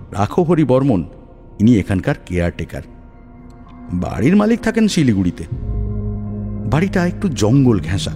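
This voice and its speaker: A man narrating a scripted horror story dramatically in the first person. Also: lowish voice. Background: music, rain.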